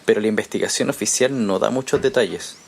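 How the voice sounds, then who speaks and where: An actor playing a calm, diplomatic male journalist in an audio drama, explaining things in a composed, reassuring way. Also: fairly low pitch, fast, vocal fry. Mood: grave, explanatory. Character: Néstor, calm, diplomatic journalist guiding an experimental procedure.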